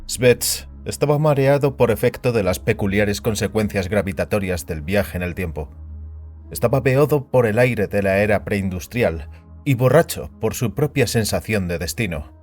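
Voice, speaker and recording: A man narrating an audio story in a scripted, dramatic style with a measured, solemn storyteller's delivery. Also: fairly low pitch, lively intonation, darkish tone. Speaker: narrator and host of an audio fiction show. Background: background music.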